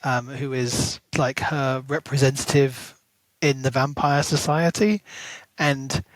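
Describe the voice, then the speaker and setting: A man co-hosting a podcast, chatting casually about a book and thinking out loud with lively rises in his voice. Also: mid-range voice, slow, dry recording, low-quality recording. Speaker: podcast co-host.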